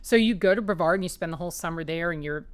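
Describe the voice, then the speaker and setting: Woman, low pitch, smooth clear voice, dry close sound, chatty storyteller. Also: racing, no echo. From New York area, raised as an Army child. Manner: chatty, anecdotal, with frequent restarts. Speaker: author guest. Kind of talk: conversational interview. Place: her home.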